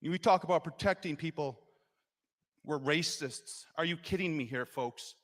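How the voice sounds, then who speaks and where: Legislator, male, impassioned floor speech, slightly high voice, long chamber reverb. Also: moderate pace. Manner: impassioned, rising to loud emphasis. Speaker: state legislator. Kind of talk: floor speech explaining a vote.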